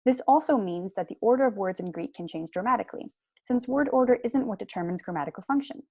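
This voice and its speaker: A woman's voice: mid-range voice, lively intonation, fast, darkish tone, dry recording. Young woman lecturing, close dry sound. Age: young adult. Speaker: lecturer, PhD student. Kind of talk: prepared seminar lecture. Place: small room at home.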